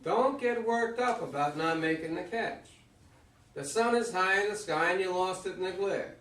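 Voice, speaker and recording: A man playing a calm, encouraging old retired baseball star in an amateur play reading. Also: high voice, normal speed. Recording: echoey room, far from mic.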